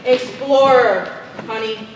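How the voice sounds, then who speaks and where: A woman's voice: fairly high pitch, takes it slowly. A young woman on stage playing a sassy, teasing woman explorer with a familiar manner. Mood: brash. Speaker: stage actor. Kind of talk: live stage play acting. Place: theatre stage, recorded from far off. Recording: echoey theatre, distant mic, noisy.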